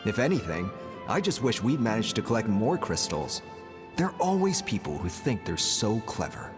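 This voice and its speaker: Confident game chieftain, lowish voice, animated intonation, wry and smug, dramatic. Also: male voice, in a large hall. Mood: smug, mocking. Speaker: voice actor in a game cutscene. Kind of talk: dramatic game dialogue acting.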